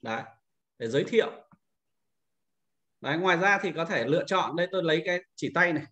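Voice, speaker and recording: Man giving an informal software tutorial. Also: on the high side, animated, fast, dull audio. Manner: explanatory, with frequent confirming tags. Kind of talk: informal step-by-step screen-share tutorial narration.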